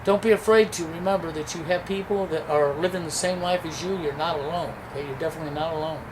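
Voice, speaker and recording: A man's voice: a little high, quick pace, noisy background. A man urging viewers to speak out, emphatic and exhorting, often asking "okay?". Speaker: movement organizer.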